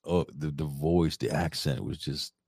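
A man co-hosting a casual podcast, telling an animated story and laughing often. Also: very deep voice, a little slow, slightly rough, no echo, clean studio sound. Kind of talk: casual chat.

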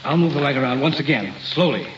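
An actor playing a determined, curious professor leading an expedition in an old-time radio drama, grave and earnest in delivery. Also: male voice, mid-range voice, expressive, fast talker, noisy background, close to an everyday voice. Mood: tense, intrigued. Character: determined, curious male scientist professor, middle-aged expedition leader. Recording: muffled vintage radio recording.

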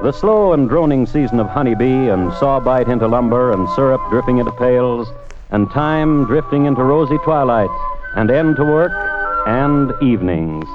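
Old radio true crime host guy, dry deliberate storytelling, lively pitch, muffled sound w/ music behind. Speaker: host and narrator.

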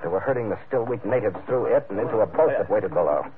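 A man's voice: on the low side, expressive, quick pace, a crowd in the background, lightly acted. Man playing a hard-boiled sea captain, vintage radio. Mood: moody narrating. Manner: terse, sardonic, tough-guy delivery. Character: hard-boiled, wry sea captain telling his adventure. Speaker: narrator and lead actor. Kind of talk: 1940s radio adventure acting and first-person narration. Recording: narrow vintage radio recording, music behind.

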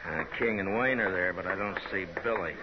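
Low-voiced, stern frontier marshal played by an actor. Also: male voice, fairly quick, lightly acted. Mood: stern, controlled. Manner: terse, commanding. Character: stern, unflinching frontier marshal facing down outlaws. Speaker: lead actor in a radio western. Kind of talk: 1950s radio drama acting. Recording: noisy, dull 1950s radio recording.

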